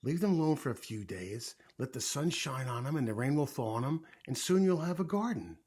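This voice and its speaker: A man's voice: mid-range voice, expressive, fairly quick, a little mellow, husky. A man voicing a friendly, reassuring male frog from a children's storybook, with encouraging, emphatic delivery.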